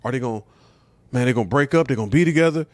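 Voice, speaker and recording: A man's voice: medium pitch, racing, no room sound. Middle-aged American man, dry close sound. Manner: blunt, conversational, slangy, with rhetorical questions. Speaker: comedian and talk show host. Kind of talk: casual opinionated monologue.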